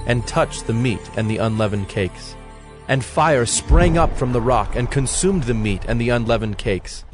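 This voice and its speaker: Guy narrating bible story, normal pitch, measured, faint music in the background. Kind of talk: scripted scripture narration.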